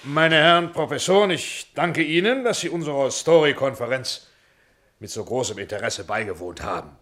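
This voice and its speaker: An actor playing a bossy, irritable film producer with a commanding, emphatic manner, in a German radio play. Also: male voice, mid-range voice, moderate pace, a bit bright, lightly acted. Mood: smooth, deferential. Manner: commanding, emphatic, formal with superiors.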